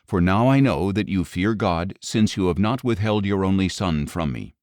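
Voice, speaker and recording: A man's voice: sits low, normal speed, darkish tone, no room sound, studio quality, close to an everyday voice. A man reading the solemn, commanding words of God and His angel in a dramatic audio Bible. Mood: urgent, commanding.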